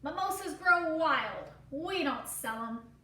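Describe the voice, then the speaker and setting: A woman's voice: sits high, animated, slow pace, sharp tone, voice pushed up, heavily acted. A woman voicing a blunt male store clerk from a storybook, emphatic and matter-of-fact. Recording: roomy echo, distant mic, faint noise.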